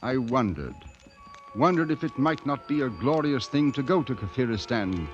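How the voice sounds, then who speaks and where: A man's voice: medium pitch, big pitch swings, normal speed, close to an everyday voice. An actor playing a reserved British newspaperman in India, narrating in an articulate, proper manner in a 1950s radio drama with a British accent. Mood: reflective. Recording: muffled vintage 1950s radio broadcast, music behind.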